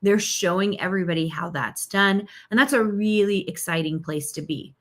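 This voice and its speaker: A middle-aged woman hosts a live marketing lesson, upbeat and chatty, often checking in with her viewers. Her voice sits at an ordinary middle pitch, and she says she is losing her voice. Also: moderate pace, fairly bright tone. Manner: upbeat, chatty, with frequent "okay?" and "right?" check-ins. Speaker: marketing coach hosting a live stream. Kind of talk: live-stream teaching.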